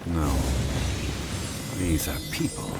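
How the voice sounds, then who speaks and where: An actor playing a calm, dignified, learned consultant in a video game, speaking in a formal, measured way. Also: male voice, a bass voice, over loud music, exaggerated voice. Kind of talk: scripted video game dialogue.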